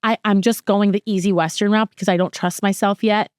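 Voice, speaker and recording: A woman's voice: medium pitch, steady tone, brisk, a little mellow, dry recording, clean studio sound. A woman in her thirties hosting a podcast, talking candidly and bluntly, swearing freely and laughing often. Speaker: podcast host. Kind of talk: candid casual chat.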